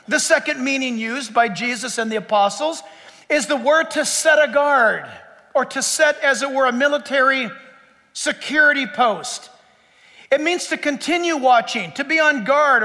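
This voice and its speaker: A man's voice: very high-pitched, bright, forceful tone, in a large hall. A man preaching a sermon as pastor, with an emphatic pulpit cadence.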